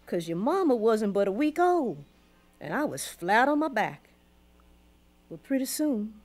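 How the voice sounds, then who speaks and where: An old grandmother from the American South in a radio drama, speaking slowly and deliberately. She is weary but proud, once enslaved, and tells her past in folksy Southern dialect with deep feeling. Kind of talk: radio drama storytelling monologue.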